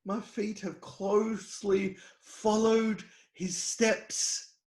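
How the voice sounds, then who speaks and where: Tortured righteous sufferer, high pitch, slow halting pace, defiant, dramatic scripture reading, echoey. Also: male voice, flat delivery. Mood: subdued, steadfast. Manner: impassioned, halting delivery swinging from cries to hushed lines. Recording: echoey room.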